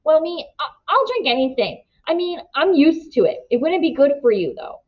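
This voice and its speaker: A woman reads as a seventeen-year-old storybook boy, easygoing yet guarded. He speaks informally and earnestly, with a very mobile pitch. The sound is a touch dull. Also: sing-song, normal speed. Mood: anxious.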